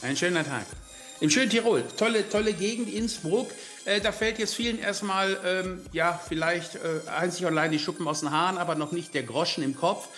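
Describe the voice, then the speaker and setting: A man's voice: high voice, fairly quick, a bit bright, roomy sound, soft background music. A German man hosting a podcast interview, jolly and playful, joking with his guest over a remote call. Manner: jolly, playful wordplay, many fillers. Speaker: podcast host.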